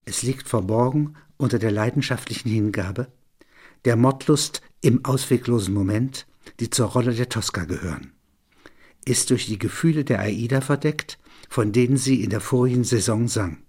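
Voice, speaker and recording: A man narrating literary and philosophical reflections in measured, deliberate phrases. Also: mid-range voice, a little mellow, husky.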